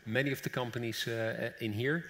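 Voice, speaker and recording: A man's voice: medium pitch, steady tone, fairly quick, a bit bright, a little hiss or hum. A middle-aged man speaking as a founder on a conference panel, on stage in a large hall. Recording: long reverb of a large hall.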